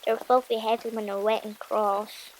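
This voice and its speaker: Woman playing a gloomy, high-voiced Viking boy. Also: level tone, normal speed, slight background noise, close to an everyday voice, low-quality recording. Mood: bitter complaint. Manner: bitter, weary, miserable complaining. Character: gloomy, complaining, sarcastic Viking boy sidekick. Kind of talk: audiobook drama character acting.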